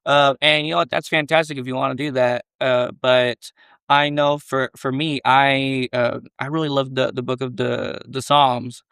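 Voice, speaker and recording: A man's voice: medium pitch, level tone, moderate pace, fairly bright tone, clear, resonant tone, no room sound. A young man sharing personal advice on a casual faith podcast, hesitant and full of fillers. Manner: hesitant, lots of fillers and likes.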